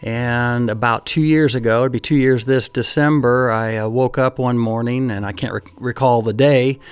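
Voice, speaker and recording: A middle-aged man from Missouri, a photographer and filmmaker, telling relaxed stories in a radio interview. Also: medium pitch, moderate pace, clear, resonant tone. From Missouri, USA. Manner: relaxed storytelling, reflective.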